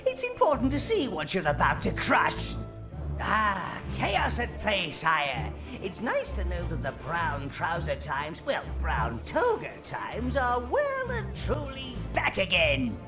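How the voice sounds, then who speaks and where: Casting: fawning old minion advisor in a fantasy game, slow and very expressive, savouring every wicked word. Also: male voice, high-pitched, music in the background, heavily acted. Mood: gleeful relish. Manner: obsequious, sardonic, relishing his words. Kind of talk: theatrical video game character acting.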